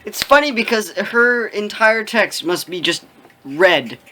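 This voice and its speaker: A woman's voice: mid-range voice, lively intonation, takes it slowly, sharp tone. A woman co-hosting in casual, joking chat, commenting in a dry, nitpicking way. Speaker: co-host commenting on a read-aloud.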